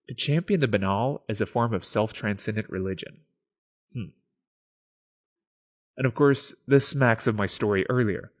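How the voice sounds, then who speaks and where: Male podcast host, lowish voice, conversational lecturer, somewhat muffled lo-fi recording. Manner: conversational lecturing, rhetorical check-ins. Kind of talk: explanatory philosophy podcast monologue with read-out essay passages. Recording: dull lo-fi audio over music bed. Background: background music.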